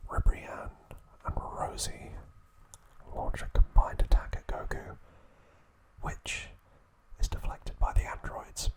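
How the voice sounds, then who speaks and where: A man whispers softly and breathily, as an ASMR host does. His delivery is very slow and gentle, broken into short, soothing phrases meant for relaxation. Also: poor audio. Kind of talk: whispered ASMR trivia narration and sign-off.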